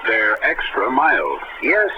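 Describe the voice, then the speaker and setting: Animated man reading a vintage radio advert. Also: mid-range voice, takes it slowly. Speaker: announcer. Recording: noisy, phone-narrow vintage radio recording.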